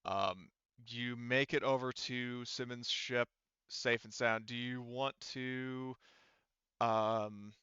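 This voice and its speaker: A man running a tabletop roleplaying game as game master, narrating casually and thinking aloud in a halting way. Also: mid-range voice, fairly even intonation, sharp tone, clear, resonant tone, no room sound, dull audio.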